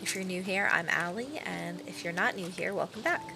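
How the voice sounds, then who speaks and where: A woman's voice: on the low side, fairly quick, creaky, rough recording. A young woman streaming a game, chatting in a bubbly way and thinking aloud. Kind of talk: casual chat while gaming. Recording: voice over loud game music.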